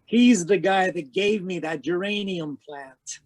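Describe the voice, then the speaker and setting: A man playing a hard-boiled, wisecracking private investigator in a 1940s-style radio noir drama, deadpan, clipped and sardonic. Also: high-pitched, a little slow, dry recording. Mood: sardonic, defiant. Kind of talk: 1940s radio noir acting with first-person narration. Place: live remote video-call performance.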